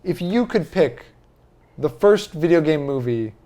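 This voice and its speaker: A man with a slightly high voice hosts a casual podcast discussion. He talks in a conversational way and states his opinions plainly. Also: animated, measured pace, warm tone. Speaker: podcast host. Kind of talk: casual chat on a film podcast.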